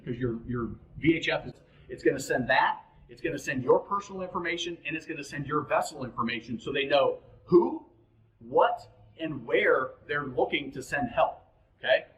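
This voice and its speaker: A man's voice: medium pitch, a bit bright, muffled recording. Male instructor-host, mid-range voice, informal tutorial delivery, direct and practical, talking to the viewer.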